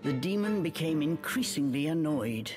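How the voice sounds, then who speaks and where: A woman playing a storybook narrator in a video game, measured and dramatic. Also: deep voice, lively intonation, big-room sound, music in the background.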